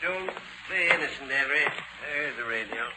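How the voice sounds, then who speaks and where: An actor playing a weary, gruff older police inspector and father in a 1940s radio drama, on a scratchy old recording. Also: mid-range voice, animated, moderate pace, close to an everyday voice. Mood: weary, grim.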